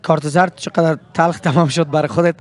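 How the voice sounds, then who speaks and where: A man's voice: on the high side, steady tone. A young man with an Afghan Dari accent, a sports presenter interviewing after a match and signing off with a handheld mic outdoors. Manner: formal, fluent broadcaster delivery. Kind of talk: post-match interview and sign-off. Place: outdoor pitchside sponsor backdrop.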